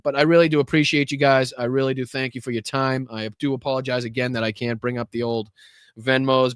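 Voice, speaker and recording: A man's voice: mid-range voice, clear, resonant tone, dry recording, studio quality. Rambling, profane male podcast host. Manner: rambling, profane, many fillers. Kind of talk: casual livestream political commentary.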